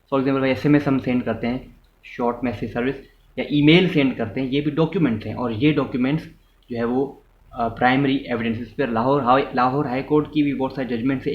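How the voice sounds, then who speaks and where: A man with a Pakistani accent giving an explanatory law lecture, mixing in English terms and restating each point in a didactic way. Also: medium pitch. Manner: didactic, repeats and rephrases points, checks in with listeners. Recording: muffled, roomy echo, background noise.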